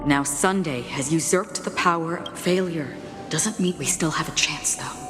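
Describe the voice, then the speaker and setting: An actress playing a composed, coolly courteous woman warrior in a video game, grave, formal and deliberate in her speech. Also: deep voice. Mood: grave, resolute. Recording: long reverb, music behind. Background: game music.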